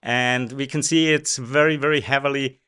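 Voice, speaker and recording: A man from Switzerland, a native Swiss German speaker, hosting a live interview in a relaxed, chatty way with fillers. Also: mid-range voice, moderate pace, clear, resonant tone. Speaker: show host.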